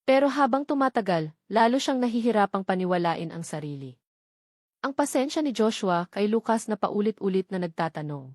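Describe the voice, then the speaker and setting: A woman audiobook narrator reading a scripted dramatic story in measured, short phrases. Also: mid-range voice, expressive, a little mellow, dry recording, clean studio sound.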